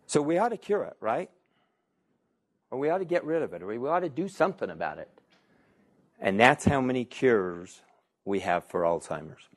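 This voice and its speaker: A man's voice: medium pitch, big pitch swings, normal speed, pauses often. A man, a physician, giving a conversational public health talk, repeating audience questions and asking the room rhetorical questions.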